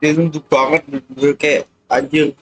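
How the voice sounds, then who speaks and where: A man's voice: a little high, little pitch movement, a little fast, low-quality recording. Brief: Indonesian male game streamer, casual slangy reactions, joking asides, light game music underneath. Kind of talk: casual gameplay reaction chat.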